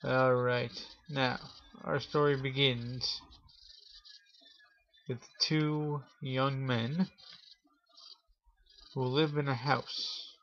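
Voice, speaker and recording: A man hosting a Halloween story show, telling a spooky tale in a theatrical showman's manner with drawn-out pauses. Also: mid-range voice, little pitch movement, fairly bright tone. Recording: dull lo-fi audio with clear noise.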